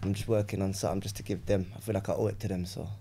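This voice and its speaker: A young man from West London with a London accent, a rapper chatting as a podcast guest, relaxed and rambling, often asking "you know what I'm saying". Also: sits low, very fast, vocal fry, slight background noise. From West London, England. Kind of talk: casual podcast chat.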